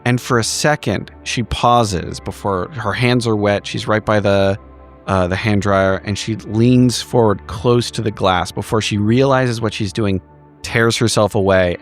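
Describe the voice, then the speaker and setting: A man narrating his character's actions as a tabletop roleplaying player, descriptive and halting. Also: fairly low pitch. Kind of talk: improvised third-person scene narration.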